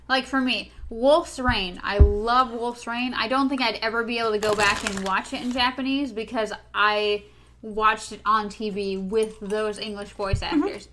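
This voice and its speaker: A woman's voice: a little high, steady tone, normal speed, a bit bright, a little hiss or hum, low-quality recording. A woman chatting casually about anime, rambling a little with fillers.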